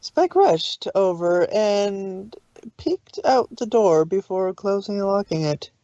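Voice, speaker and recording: A woman's voice: medium pitch, expressive, slow, warm tone, no echo, lo-fi sound. A woman reading scripted story narration as the narrator of a fan fiction.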